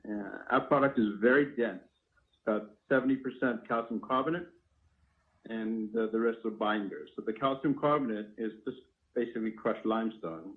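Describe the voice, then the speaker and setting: A man's voice: lowish voice, normal speed. A man informally explaining his products on a live call, in a technical, step-by-step way, as their inventor. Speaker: product inventor and company founder. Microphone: phone call. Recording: narrow tinny phone line.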